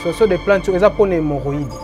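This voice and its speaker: A man with a notably high voice, a healer from Boma in Congo, explains his remedies in an interview. He repeats his phrases emphatically and lets them trail off quietly. Faint music plays underneath. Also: fast. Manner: repetitive, emphatic, trailing off low. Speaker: traditional healer.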